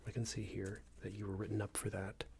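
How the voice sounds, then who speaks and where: A man playing a smug, unreasonable boss in an ASMR roleplay, scolding an employee in a soft breathy whisper with a hesitant, condescending manner. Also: little pitch movement, a little fast, a little hiss or hum. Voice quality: breathy soft whisper with low creaky murmur. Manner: hesitant, condescending, meandering reprimand.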